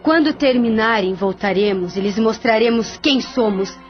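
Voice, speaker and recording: A woman's voice: a little high, normal speed, lightly acted. A woman voicing a scheming, vengeful female spirit in an audio drama, talking in a mocking, sarcastic way over muffled, lo-fi audio with music behind. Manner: mocking, sneering, laughs between lines. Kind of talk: radio drama acting.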